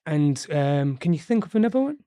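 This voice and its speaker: A man hosting an English pronunciation lesson, modelling sounds slowly and carefully. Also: fairly high pitch, very animated, warm tone, no echo. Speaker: English teacher and host. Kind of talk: friendly language lesson.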